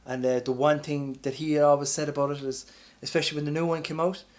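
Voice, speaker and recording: A man's voice: a little high, little pitch movement, fast. A man co-hosting a podcast, chatting about films in a colloquial, chummy, sweary way. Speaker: podcast co-host. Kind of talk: casual film chat among friends.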